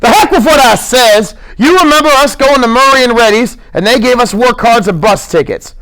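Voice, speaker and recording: A man reading aloud and voicing a small, gruff working man who scolds and grumbles with irritation. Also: sits high, animated, moderate pace, a bit bright, voice pushed up, rough recording. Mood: gruff, scolding. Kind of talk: audiobook character reading.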